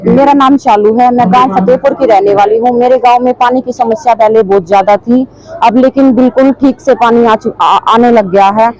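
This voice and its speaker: A woman's voice: a little high, steady tone, fairly quick, darkish tone, faint music behind, poor audio. A woman from a village in Haryana, giving a plain testimonial with a brief restart.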